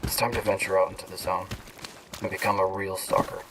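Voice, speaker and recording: A man's voice: deep voice, level tone, fairly quick, lightly acted. Guy voicing eager young rookie stalker in a game, far away, noisy background. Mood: determined. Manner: determined, eager. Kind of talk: video game dialogue. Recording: distant voice, clear ambient noise.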